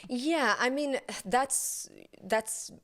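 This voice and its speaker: A young woman, a yoga instructor, talking calmly and softly as a podcast guest. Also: high voice, drawn-out words. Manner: calm, soft-spoken. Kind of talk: casual chat.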